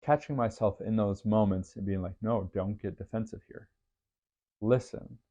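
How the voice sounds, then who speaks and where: A man hosting a podcast, talking through his thoughts in an earnest, faith-based, reflective way with a deep voice. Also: steady tone, normal speed, warm tone. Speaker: podcast host. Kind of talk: reflective solo podcast monologue.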